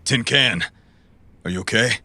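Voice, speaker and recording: A man playing a gruff, weary, protective character with a gravelly voice in a cyberpunk audio drama. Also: medium pitch, unhurried, noisy background. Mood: concerned.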